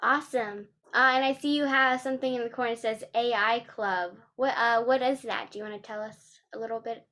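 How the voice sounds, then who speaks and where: Relaxed woman interviewer. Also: a little high, a little fast, hardly any pauses. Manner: relaxed, with fillers like "like" and "uh". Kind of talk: casual interview.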